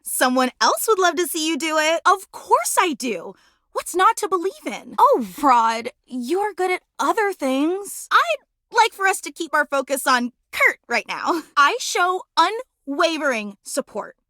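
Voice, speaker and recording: A woman voicing a bubbly, devoted superfan in a video game, with an excitable, dramatic, slangy way of gushing. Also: high-pitched, unhurried, dry recording, studio quality, full character voice. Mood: cheering, supportive, then hurt, annoyed. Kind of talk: video game character voice acting.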